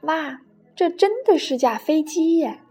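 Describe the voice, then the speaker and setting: Woman voicing an eager, high-pitched little penguin. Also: very animated, takes it slowly, soft background music, exaggerated voice. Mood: excited. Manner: eager, exclamatory. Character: excited little penguin dreaming of flight.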